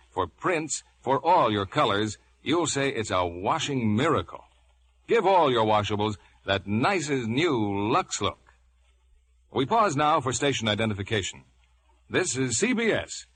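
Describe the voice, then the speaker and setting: Male old-time radio pitchman, very animated intonation, medium pitch, polished and emphatic. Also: a little slow. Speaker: radio announcer. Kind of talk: 1950s radio ad delivery.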